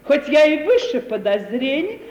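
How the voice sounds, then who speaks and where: An actress playing a sanctimonious, self-pitying middle-aged widowed landowner in an affected, theatrical radio comedy manner. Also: female voice, sits high, very animated, darkish tone, highs cut off. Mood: pleading, self-righteous. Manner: theatrical, affected, drawn-out self-justifying speech. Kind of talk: 1950 Russian stage-comedy radio acting. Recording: echoey hall, noisy 1950 radio recording.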